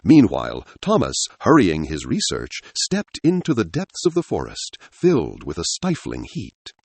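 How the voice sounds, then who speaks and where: A man's voice: deep voice, huge pitch swings, unhurried, gravelly, slightly rough, no echo. A man narrating a scripted adventure story in a dramatic style.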